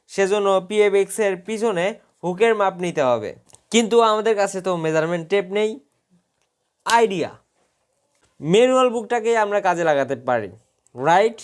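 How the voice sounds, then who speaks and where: A man's voice: high-pitched, clear, resonant tone. A man narrating a scripted how-to tutorial, explaining step by step. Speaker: tutorial host.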